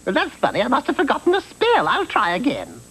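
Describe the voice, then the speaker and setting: A woman's voice: a little high, wildly swooping pitch, quick pace, a bit bright, slightly rough, heavily acted, low-quality recording. A woman voicing a forgetful, folksy little old witch in a comic cartoon, with a cackling, chatty delivery. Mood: flustered, cheerful. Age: older. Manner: folksy, scatterbrained, calls people honey. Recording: old TV cartoon soundtrack with music.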